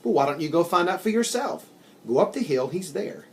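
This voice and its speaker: A man's voice: high-pitched, expressive, a little fast. A man voicing a carefree, self-assured female wooden puppet person in a storybook, breezy and matter-of-fact. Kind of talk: storybook character reading.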